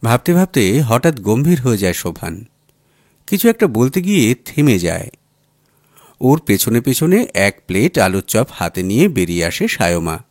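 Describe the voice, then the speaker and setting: A man narrating a literary story as an audiobook, in an expressive reading style. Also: medium pitch, moderate pace, no echo, clean studio sound. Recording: music under the voice. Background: background music.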